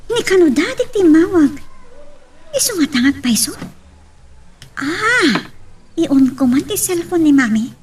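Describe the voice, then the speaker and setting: A very high, childlike voice with a Filipino accent, sounding slightly distant from the mic. She is a pert, wounded little girl in an audio drama, firing questions with stubborn emphasis. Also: big pitch swings, unhurried, long pauses, heavily acted. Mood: nosy, playful. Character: sassy, wounded little girl questioning her mother and a stranger.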